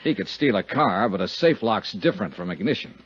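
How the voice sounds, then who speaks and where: Tough insurance investigator, 1950s radio drama: American, husky, clipped, hard-boiled, animated pitch. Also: male voice, fairly quick. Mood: cold, accusing. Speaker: lead actor and narrator. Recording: muffled 1950s radio recording, music behind.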